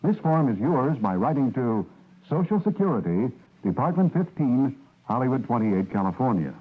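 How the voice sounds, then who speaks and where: A man reading a public service announcement in a steady, informative manner. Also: medium pitch, normal speed, husky. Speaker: advertisement announcer. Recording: tinny old radio broadcast.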